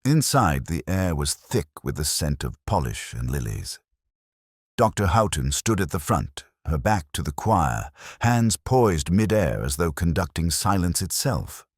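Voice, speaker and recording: Very deep, husky male story narrator. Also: big pitch swings, unhurried, no echo, clean studio sound. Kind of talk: scripted first-person detective story narration.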